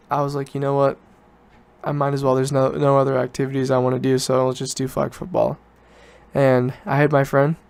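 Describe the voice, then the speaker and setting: Male, late teens, smooth clear voice at a medium pitch, informal solo podcaster who rambles and backtracks. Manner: informal, self-correcting, loosely rambling. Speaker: podcast host. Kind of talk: casual solo podcast chat, rambling storytelling.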